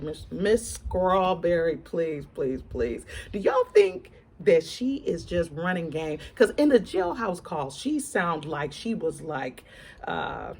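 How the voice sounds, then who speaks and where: A woman's voice: on the low side, a little mellow, a little hiss or hum. A woman giving casual celebrity gossip commentary in a conversational way, repeating words for emphasis and addressing her viewers directly. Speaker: online commentator.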